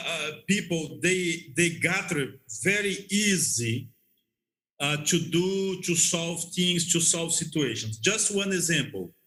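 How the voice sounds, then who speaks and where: A man who is a non-native English speaker, telling stories as an interview guest in a halting, repetitive way and checking that he is understood. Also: a little high, sharp tone, poor audio. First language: not English. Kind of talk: conversational storytelling.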